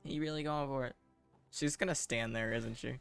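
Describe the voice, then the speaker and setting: Female game streamer, extremely low-pitched with heavy vocal fry, offhand deadpan commentary. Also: moderate pace, soft background music. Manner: deadpan, sardonic. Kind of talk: casual reactive gameplay commentary.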